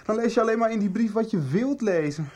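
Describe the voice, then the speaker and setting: An actress playing a confused, emotional teenager in a Dutch radio soap. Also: female voice, a little high, expressive, fast talker, darkish tone. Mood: pleading, frustrated.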